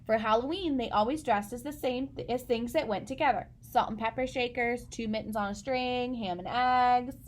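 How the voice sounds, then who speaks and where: Woman reading a picture book to kids, highish voice, expressive storyteller. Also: moderate pace, hardly any pauses. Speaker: read-aloud storyteller.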